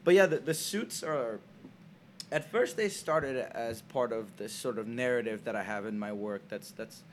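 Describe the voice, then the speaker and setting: A man's voice: medium pitch, slight background noise. Hesitant young man from Senegal. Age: young adult. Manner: halting, many restarts and fillers. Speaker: art student guest. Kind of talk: casual interview chat. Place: small college radio booth.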